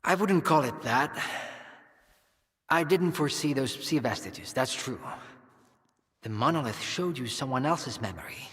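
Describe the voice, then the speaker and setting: A man acting as an earnest scientist in a video game, calmly and persuasively justifying his risky work in measured explanations. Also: mid-range voice. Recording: long hall-like reverb.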